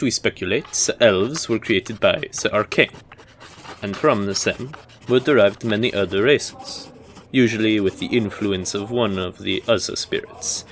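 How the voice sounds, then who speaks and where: A man playing a measured, scholarly university professor who lectures formally and deliberately in a fantasy audio roleplay. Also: lowish voice, a little hiss or hum. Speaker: professor giving a history lecture.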